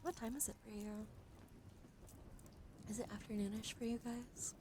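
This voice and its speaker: A woman's voice: fairly high pitch, fairly even intonation, normal speed. Woman ASMR streamer, noisy ambient background. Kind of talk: soft ASMR roleplay mixed with casual chat to viewers.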